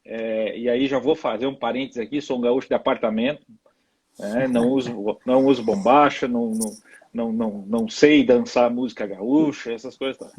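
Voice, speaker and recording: Gaúcho guy on a live video call, husky voice, warm but stumbles a lot. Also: medium pitch, steady tone. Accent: gaúcho Brazilian Portuguese. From Rio Grande do Sul, Brazil. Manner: warm, halting with fillers. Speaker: guest. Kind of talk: live-stream interview.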